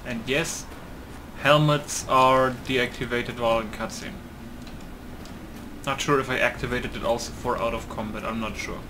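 A man talks through a video game in a casual, rambling way. He mutters to himself and swears offhand. His voice sits at an ordinary pitch over clearly noisy background sound from the game and computer. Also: fairly even intonation, moderate pace. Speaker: gaming video creator. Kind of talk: casual gameplay commentary. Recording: noisy home setup, loud computer.

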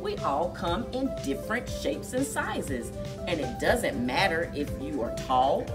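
A middle-aged woman speaking to young children as a story time host, with exaggerated, drawn-out storyteller delivery. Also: lowish voice, big pitch swings, distant mic, loud music behind the voice. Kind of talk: expressive picture-book read-aloud and leading action activity for children. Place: indoors, children's library room.

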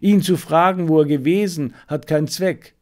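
A man's voice: on the high side, normal speed, a little mellow, lightly acted. A man voicing a proud, garrulous menagerie owner in an audiobook, chatty, with little hesitant interjections. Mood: casual, grumbling.

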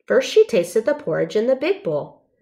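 A woman's voice: on the high side, big pitch swings, normal speed, dark tone. A woman narrating a children's storybook, reading aloud carefully and expressively.

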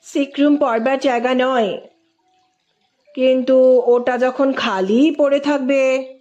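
A courteous man who teaches at a college , acted out by a woman storyteller. He talks mildly and with mock innocence, gently teasing his listener in a storybook romance. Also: high voice, steady tone, slow pace, dull audio. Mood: feigned surprise. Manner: mild, feigned innocence, teasing. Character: polite, teasing male Sanskrit and Bengali college professor.

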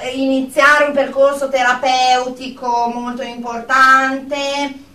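Woman acting high-voiced, officious lady psychiatrist. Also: flat delivery, slow pace, bright, forceful tone, full character voice. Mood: formal, welcoming. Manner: officious, formal, spouting pseudo-medical jargon. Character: brisk, clinical female psychiatrist leading group therapy. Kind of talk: radio drama acting. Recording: roomy, distant mic with faint noise.

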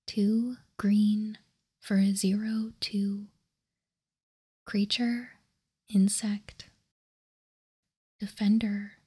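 A woman speaks in a very breathy ASMR whisper, close to the microphone. Her delivery is slow and deliberate, with little rise or fall and a soft, mellow tone. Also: medium pitch, flat delivery. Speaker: host of a trading card ASMR channel. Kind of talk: whispered ASMR, reading out and commenting on cards.

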